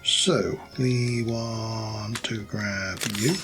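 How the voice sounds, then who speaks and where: A man with a hoarse, croaky voice, rambling and thinking aloud as he plays and chats through a building game. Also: fairly low pitch, fairly even intonation, drawn-out words, loud music behind the voice. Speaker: let's play host. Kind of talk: casual gameplay commentary.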